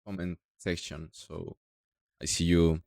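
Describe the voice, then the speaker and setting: A young man from Mexico with a Mexican Spanish accent, speaking halting non-native English as he walks through a software tutorial step by step. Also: sits low, little pitch movement, gravelly, no echo, studio quality. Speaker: tutorial creator. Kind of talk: screen-recorded software tutorial.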